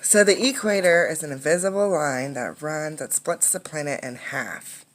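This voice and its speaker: A woman reading a picture book aloud as a teacher, in an instructive way, pausing to explain and ask questions. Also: low voice, a little hiss or hum.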